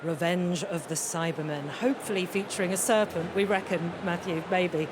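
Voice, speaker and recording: A woman's voice: on the low side, moderate pace. A woman presenting a live radio interview, chatty and enthusiastic in manner. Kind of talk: live broadcast interview and commentary. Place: large concert hall during the interval. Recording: clear crowd and venue noise.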